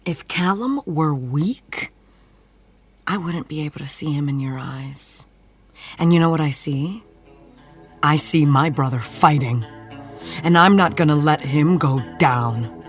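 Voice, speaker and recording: A low-voiced young woman in a supernatural drama, defiant and intense. She speaks slowly and deliberately, often sinking into a hush, with faint music behind her. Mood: defiant, pleading. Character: tense young woman, defiant sister confronting brother's possessor. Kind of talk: dramatic scripted acting.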